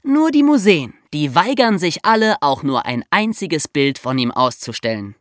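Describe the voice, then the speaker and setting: A woman's voice: low-pitched, sing-song, normal speed, no echo, studio quality. A woman co-hosting a podcast, explaining true crime in a colloquial, conversational storytelling way for young listeners. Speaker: podcast co-host.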